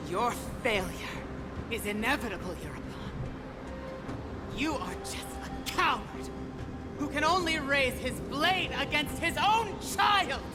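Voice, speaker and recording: A woman's voice: sits high, expressive, slow pace. An actress playing a proud, fierce warrior queen and mother in a video game, speaking in commanding, theatrical, formal oratory. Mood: scornful, outraged. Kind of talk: dramatic video game voice acting. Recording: loud music under the voice.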